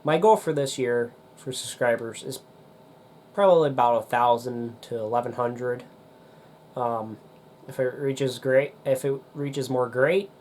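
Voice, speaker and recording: Young male vlogger, nasal and congested, mid-pitched, casual rambling delivery. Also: a little slow, a little mellow, slight background noise. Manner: rambling, hesitant with many ums. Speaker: demolition derby YouTuber. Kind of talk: casual chat to camera.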